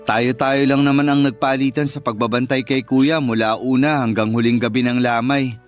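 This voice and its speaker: A man with a Filipino accent playing a gentle young man consoling his bereaved sister-in-law in a radio drama. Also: medium pitch, fairly even intonation, moderate pace, soft background music, lightly acted. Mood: gentle urging.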